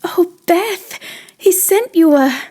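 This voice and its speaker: An actress playing a lively, artistic schoolgirl in an audio play, chatty in manner. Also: very high-pitched, slow, heavily acted. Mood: excited.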